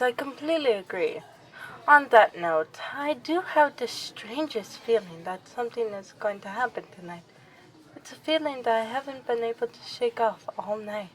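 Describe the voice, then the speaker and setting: A woman's voice: on the high side, rough recording. A woman playing a young noble vampire princess in an ASMR roleplay, affectionate and formal in her wording. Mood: uneasy. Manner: formal, expressive, aristocratic wording.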